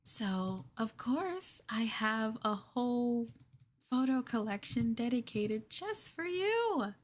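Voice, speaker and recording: Slow, coy, possessive girlfriend played by a woman. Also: a little high, very animated, full character voice. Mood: sweetly obsessive. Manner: coy, hesitant, giggly, soft coaxing. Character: clingy, sweetly possessive yandere girlfriend, young woman. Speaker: streamer performing ASMR roleplay. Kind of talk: ASMR girlfriend roleplay, improvised.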